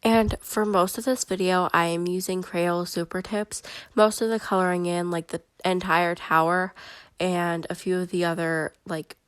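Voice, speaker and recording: A woman's voice: fairly low pitch, normal speed, clean tone, dry recording. A woman with a stuffy, head-cold voice, chattily narrating a voiceover for her bullet journal video. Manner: chatty and excitable, many fillers.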